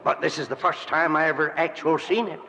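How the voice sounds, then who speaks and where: A gravelly folksy cowboy sidekick. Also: male voice, fairly high pitch, fairly even intonation, fairly quick, bright, forceful tone. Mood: wary. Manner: folksy drawl, rough grammar. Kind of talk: 1940s radio acting. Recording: muffled old radio recording with hiss.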